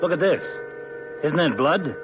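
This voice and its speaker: A man's voice: fairly high pitch, expressive, a little slow, over music. A man playing a blunt, guarded police lieutenant in a 1950s radio drama. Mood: wary. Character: gruff, cautious male police lieutenant. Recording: dull lo-fi old radio broadcast with noise.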